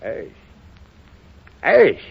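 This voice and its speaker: An actor playing a tough, slangy, commanding man in 1930s radio drama style, curt and emphatic. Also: extremely slow. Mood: urgent, commanding. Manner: curt, hard-boiled, emphatic. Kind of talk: 1930s American radio acting. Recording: muffled lo-fi 1930s radio broadcast.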